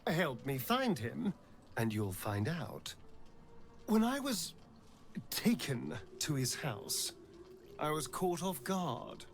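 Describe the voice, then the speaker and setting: Slow, theatrical, swooping male adventurer. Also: fairly high pitch, very animated, vocal fry, exaggerated voice. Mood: scheming, resolved. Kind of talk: dramatic video game acting.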